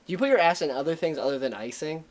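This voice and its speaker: A man's voice: on the high side, animated, rapid-fire. A young man co-hosting a casual podcast chat, loose and slangy, swearing freely. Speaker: podcast co-host.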